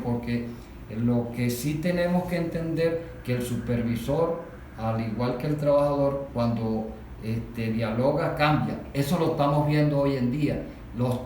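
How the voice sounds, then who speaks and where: A man's voice: medium pitch, a little mellow. A man with a Venezuelan accent speaking spontaneously about workplace matters, explaining things by posing questions and then answering them. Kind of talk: spontaneous discussion of workplace issues. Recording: very echoey room, distant mic, faint noise.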